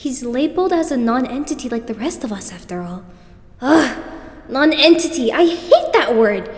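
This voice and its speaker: A woman acting an excitable, cheeky teenage boy idol in an anime-style dub, dramatic and exclamatory. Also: high-pitched, moderate pace. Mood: despairing.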